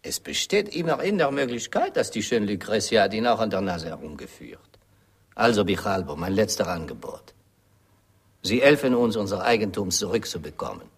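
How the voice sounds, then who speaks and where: Suave, gravelly French-accented gangster. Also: male voice, on the low side, expressive, moderate pace. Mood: coaxing. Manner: theatrically polite, hesitant foreign phrasing. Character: suave, menacing French-speaking male gangster, falsely friendly. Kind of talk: radio drama acting.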